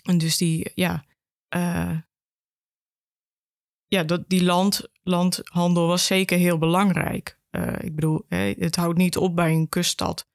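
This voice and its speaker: A woman's voice: on the low side, level tone, takes it slowly, vocal fry, no echo, studio quality. A woman explaining informally as an interview guest, with some hesitation. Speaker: archive education officer, podcast guest.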